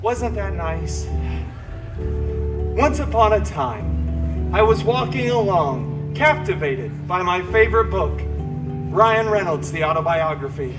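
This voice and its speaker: A man's voice: sits high, expressive, takes it slowly. A man playing a flamboyant, teasing superhero jester who tells a storybook tale in hammy live-show style. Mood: theatrical, playful. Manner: campy, teasing, mock-dramatic. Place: outdoor theme park show. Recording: distant camera audio, loud music and crowd. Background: loud music and crowd.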